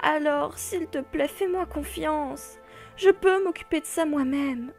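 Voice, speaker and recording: An optimistic young princess of hell voiced by a woman in a cartoon fan dub, earnest and a little pleading. She speaks at a very high pitch and takes her words slowly. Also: faint music behind. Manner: eager, earnest, insistent.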